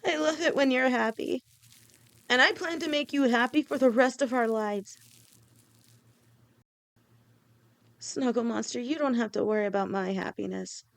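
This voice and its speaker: A woman voicing a devoted young lamia woman with a snake tail, speaking softly to her partner in an audio roleplay, halting and sometimes stammering. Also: on the high side, gravelly. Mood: affectionate. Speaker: girlfriend in a listener roleplay.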